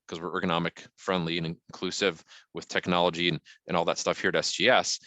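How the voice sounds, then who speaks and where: Middle-aged male consultant: booming, deep-leaning voice, dry close sound, emphatic and conversational. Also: level tone, fast, sharp tone, no echo. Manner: emphatic, punchy, frequently checking in with "right?". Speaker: guest marketing consultant. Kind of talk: conversational business advice to an online group.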